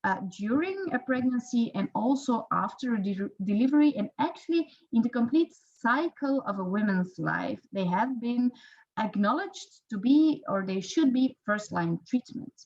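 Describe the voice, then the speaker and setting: Dutch-accented woman giving an online lecture, kinda high voice, lots of ums and restarts, muffled audio. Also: lively intonation, normal speed, darkish tone. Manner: hesitant, frequent fillers and self-corrections. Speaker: physiotherapy researcher and lecturer.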